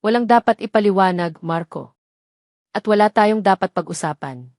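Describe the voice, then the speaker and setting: A woman's voice: medium pitch, expressive, moderate pace, a little mellow, dry recording, clean studio sound, lightly acted. A woman voicing a wounded, guarded woman doctor who speaks in a clipped, controlled way in an audio drama. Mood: cold, firm.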